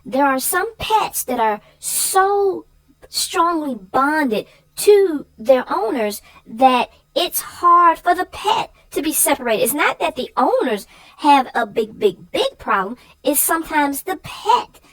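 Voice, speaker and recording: A woman's voice: high-pitched, very animated, slow, a bit bright, poor audio. A woman co-hosting a children's story channel, discussing stories in a conversational style.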